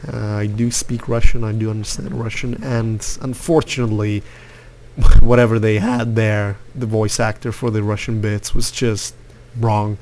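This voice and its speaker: A man's voice: lowish voice, little pitch movement, unhurried, darkish tone, a little hiss or hum. A young man from Lithuania giving rambling, opinionated commentary over his gameplay, with lots of fillers. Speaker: gaming youtuber.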